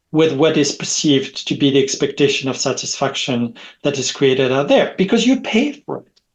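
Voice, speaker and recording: A middle-aged man with French as his first language talks as a podcast guest over a video call. His voice sits fairly high for a man, and he rambles, circling back with repeated words and a habitual "right?" as he builds a point. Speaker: guest, technology expert. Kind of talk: conversational podcast interview.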